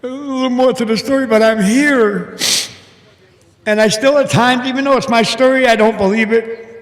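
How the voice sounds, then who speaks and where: An older man with a big, booming voice giving an earnest, halting personal testimony to a church congregation over a mic. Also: fairly bright tone. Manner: earnest, halting, emotional storytelling. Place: church hall. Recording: echoey hall with long reverb.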